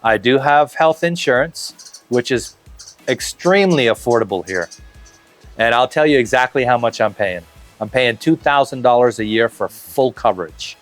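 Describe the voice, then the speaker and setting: A man's voice: medium pitch, normal speed, faint music behind. A man in his fifties, speaking casually and at length in an interview, with fillers and restarts. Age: 50s. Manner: relaxed, talkative, lots of fillers and restarts. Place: outdoor covered patio, tropical garden.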